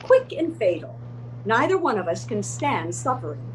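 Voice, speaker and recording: A woman's voice: on the high side, sing-song, normal speed, a little hiss or hum, rough recording. A woman playing a determined, eccentric old lady in a comic readers theater piece, speaking in a formal, matter-of-fact, bossy way. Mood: resolute. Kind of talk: comedic readers theater acting.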